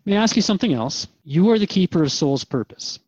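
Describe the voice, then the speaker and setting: An earnest human seeker played by a man in a radio drama, with a slightly high male voice. He questions in a conversational, sometimes halting way. The recording is dry and a touch dull. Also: lively intonation, darkish tone, husky, dry recording. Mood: searching, concerned. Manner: hesitant, inquisitive, earnest.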